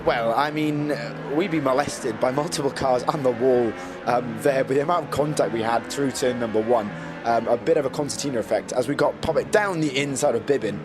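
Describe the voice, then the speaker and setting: A man's voice: mid-range voice, a little fast. A man losing his voice, casually and self-mockingly commentating his own racing game footage with many ums. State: losing his voice, getting ill. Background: background music.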